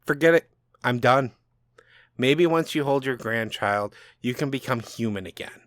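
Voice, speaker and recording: A man's voice: medium pitch, unhurried, fairly bright tone, no room sound, lightly acted. A man voicing a grown daughter in an audiobook, heated and exasperated with her father. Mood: exasperated, hurt.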